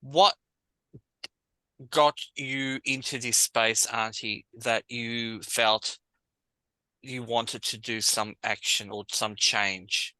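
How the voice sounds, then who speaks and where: A man hosts a podcast interview in an eager, effusive manner. His voice is very bright and forceful. The recording is dry and close. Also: mid-range voice, steady tone, takes it slowly, no room sound, poor audio. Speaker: podcast host. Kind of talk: interview hosting.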